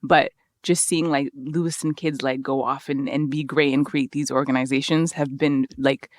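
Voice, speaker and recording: Excitable young woman guest, dry sound. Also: rumbling low, normal speed, no echo. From Sudan. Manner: excitable, many likes and ums. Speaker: podcast guest. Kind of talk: casual chat.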